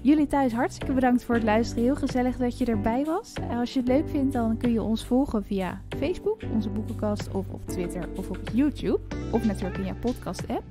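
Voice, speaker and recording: Higher-pitched woman co-hosting a casual podcast. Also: lively intonation, fairly quick, hardly any pauses, music in the background. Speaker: podcast co-host. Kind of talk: casual book chat.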